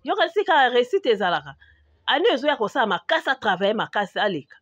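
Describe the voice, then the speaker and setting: Woman acting as cartoon market mother, Congolese accent, high voice, indignant, scolding, dry close sound. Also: sing-song, fast, dry recording, highs cut off. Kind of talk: Congolese animated comedy dialogue.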